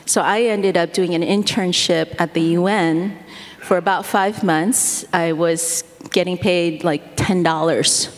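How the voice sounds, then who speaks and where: A woman's voice: on the low side, steady tone, a little slow. A middle-aged woman born in the United States, whose first language is Korean, talking as a guest in an interview, rambling and self-deprecating with many fillers. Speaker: guest speaker. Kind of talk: casual interview talk. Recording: long hall reverb.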